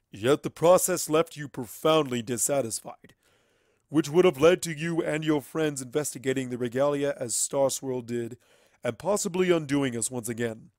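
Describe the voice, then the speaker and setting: A man's voice: medium pitch, dry recording, pitched above the performer's own voice, close to an everyday voice. Man performing lofty inhuman entity for fantasy audiobook, detached and condescending, formal diction, dry sound. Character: cold, lofty otherworldly collective entity speaking through a child. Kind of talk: dramatic fan fiction reading.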